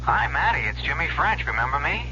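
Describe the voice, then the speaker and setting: An actor playing a smooth-talking young swindler in an old radio drama, hesitant and ingratiating, on a muffled recording. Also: male voice, fairly high pitch, sing-song, brisk, bright, forceful tone, a little hiss or hum, full character voice. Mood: eager, ingratiating. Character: smooth-talking young male small-time swindler, feigning boyish shyness.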